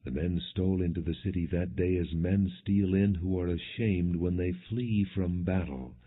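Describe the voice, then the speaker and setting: A man reading scripture as a scripted audiobook narration in a measured, solemn way. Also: deep voice, breathy edge. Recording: dull, lo-fi narrow-band audio.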